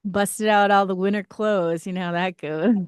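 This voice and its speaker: A woman hosting a casual radio interview from a studio, chatty and quick to laugh. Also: medium pitch, steady tone, smooth, no echo. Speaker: radio show host. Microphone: studio mic.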